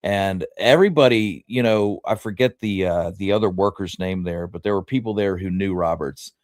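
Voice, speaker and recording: A man co-hosting a casual history discussion, thinking aloud in a halting way. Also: low-pitched, no echo, studio quality. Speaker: history show co-host.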